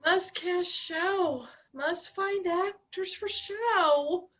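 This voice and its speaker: A woman playing a frazzled, nervous amateur theater director in a web comedy, halting and anxious. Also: very high voice, a bit bright, exaggerated voice. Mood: dazed, anxious. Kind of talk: comedic web series acting.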